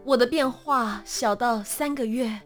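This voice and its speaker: A woman's voice: fairly high pitch, a little slow, faint music behind. A woman with a Taiwanese Mandarin accent chatting as an interview guest in a playful, theatrical manner. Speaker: guest, voice actor. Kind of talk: lively interview chat.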